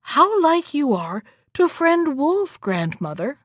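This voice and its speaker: Trusting little girl for a fairy tale, high, lively, full of naive exclamation, played by a woman. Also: slow pace, dry recording, studio quality, exaggerated voice. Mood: astonished. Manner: bright-eyed, naive, exclamatory.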